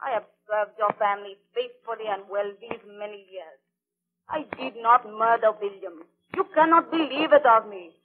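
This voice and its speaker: A woman's voice: on the high side, normal speed, lightly acted. An actress playing a young female servant accused of murder, pleading and defiant in a 1930s radio drama. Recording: tinny lo-fi 1930s radio transcription.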